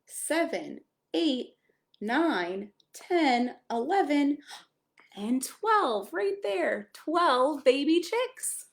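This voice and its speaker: Female pastor for children's storytime: lively, widely swinging intonation, expressive reading, speaks directly to young listeners. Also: high voice, extremely slow, gravelly. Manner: expressive, addresses young children with questions and exclamations. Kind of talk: children's picture-book read-aloud with friendly asides.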